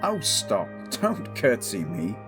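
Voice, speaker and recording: A man's voice: medium pitch, huge pitch swings. A man playing a protective, stubborn young king in a romantic audio drama, formal, earnest and tender. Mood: tender, teasing. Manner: formal, measured, earnest phrasing. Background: background music.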